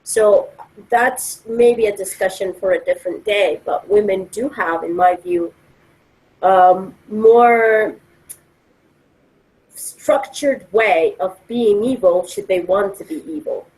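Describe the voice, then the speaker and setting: A woman takes part in a casual discussion with a medium-pitched voice. She argues her opinions emphatically, in an informal conversational style. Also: slow pace, a little mellow, poor audio. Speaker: discussion participant.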